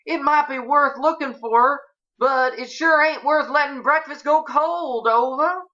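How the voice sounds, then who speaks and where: A folksy older ranch woman, acted out by a female reader, speaks up in a high, bright voice. She is hearty and plain-spoken, with an emphatic country drawl fit for a storybook. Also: unhurried, muffled recording. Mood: hearty, firm.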